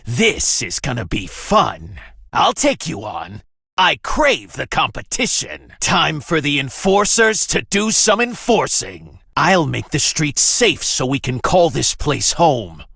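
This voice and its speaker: A man's voice: a little high, big pitch swings, slow pace, creaky, pushed and bright, slightly rough, dry recording, clean studio sound, exaggerated voice. A man voicing a cocky, battle-hungry young gang leader in a video game, with theatrical, taunting card-duel calls. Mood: cocky, eager. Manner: theatrical, emphatic, taunting delivery.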